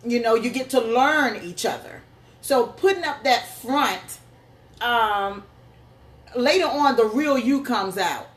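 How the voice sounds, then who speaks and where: A woman's voice: on the high side, lively intonation, slow. A woman co-hosting a casual chat show with her husband, playful and laughing often. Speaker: co-host. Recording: echoey room with faint noise.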